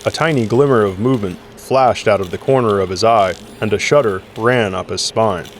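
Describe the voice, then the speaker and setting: A man with a fairly low voice narrates a dramatic story from a script. Faint city and vehicle ambience runs behind his reading. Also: normal speed, slight background noise.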